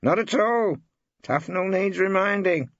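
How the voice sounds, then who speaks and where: A man's voice: high-pitched, animated, unhurried, fairly bright tone, no room sound, muffled recording, voice pushed up, exaggerated voice. A man voicing a sly, ghoulish, slanderous male law tutor with a grating, conspiratorial delivery, in an audiobook. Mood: ghoulish relish. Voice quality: grating, like tearing metal. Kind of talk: audiobook character acting.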